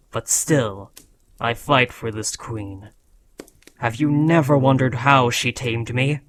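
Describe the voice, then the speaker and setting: A man's voice: mid-range voice, fairly bright tone, a little hiss or hum. A man voicing an ancient, proud dragon who scolds a beloved rider in a solemn, formal, dramatic fantasy roleplay.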